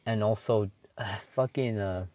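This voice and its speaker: A man's voice: lowish voice, a little slow, warm tone. Swearing teen guy rambling casually. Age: teens. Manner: rambling, swears a lot, many fillers and restarts. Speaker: solo podcaster.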